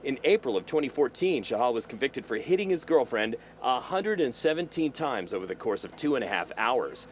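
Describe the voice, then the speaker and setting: A male field reporter speaking in a measured news delivery, outdoors. Faint traffic and street sound hum behind him. His pitch sits in an ordinary middle range. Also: sing-song. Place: outdoors by a courthouse.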